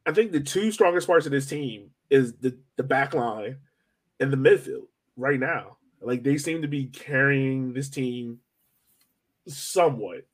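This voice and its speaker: A man hosting a sports podcast in a casual, chatty way, often rambling, hedging and repeating himself as he goes. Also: mid-range voice.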